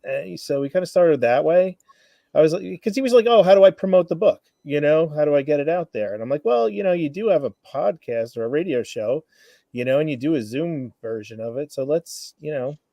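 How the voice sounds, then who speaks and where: Guy guest chatting loosely, creaky mellow voice, dry close sound. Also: on the high side, expressive, fairly quick, dry recording. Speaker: guest, radio play organizer. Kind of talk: casual chat. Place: remote video call.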